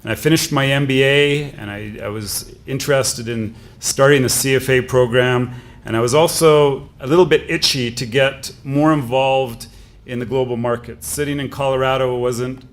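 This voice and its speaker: A middle-aged Canadian man speaking at a conference, conversational with frequent fillers. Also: mid-range voice. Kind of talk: conference speech.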